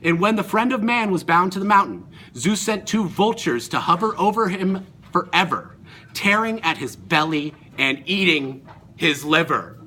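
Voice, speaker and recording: A man's voice: high-pitched, expressive, moderate pace, bright, forceful tone. A male student performer telling a myth as a dramatic story in an amateur recording.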